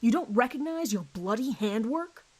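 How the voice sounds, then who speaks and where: A woman's voice: on the high side, lively intonation, moderate pace. A woman voicing a hard male police detective who questions bluntly and sardonically in an audio horror drama. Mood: accusing, forceful.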